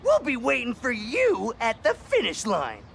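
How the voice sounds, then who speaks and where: A man voicing a scheming, hot-tempered cartoon boy with a brash, bossy, wisecracking delivery and a gravelly edge. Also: extremely high pitch, wildly swooping pitch, measured pace, slight background noise, exaggerated voice. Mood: bossy, confident. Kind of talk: cartoon game voice acting. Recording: loud music behind.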